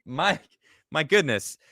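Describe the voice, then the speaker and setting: Laughing talk show host, dry sound. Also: male voice, fairly high pitch, no room sound. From Chicago, United States. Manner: laughs often, reads viewer comments aloud in a lively voice. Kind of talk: casual live-stream chat.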